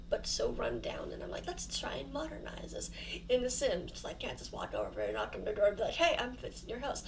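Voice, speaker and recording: A woman's voice: high-pitched, vocal fry, background noise. A young woman hosting her channel, talking casually over a home build in a rambling, self-deprecating, giggly way, her mouth sore from fresh dental fillings. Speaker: YouTuber host.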